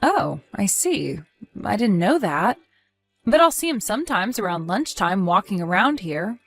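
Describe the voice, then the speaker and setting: Woman acting caring, cautious wife, medium pitch. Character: caring, cautious wife, practical and gently nagging. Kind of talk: audio drama dialogue.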